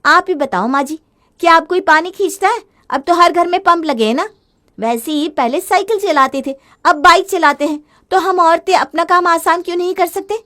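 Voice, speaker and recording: A woman voicing a young, modern daughter-in-law, chatty, impatient and quick to complain, in a family moral story. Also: extremely high pitch, a bit bright, voice pushed up. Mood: argumentative.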